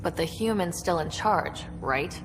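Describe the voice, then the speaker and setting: A woman's voice: lowish voice, measured pace, big-room sound, over music, lightly acted, rough recording. An actress in a video game playing a young female space explorer with a wry, casual, questioning manner. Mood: wary, curious.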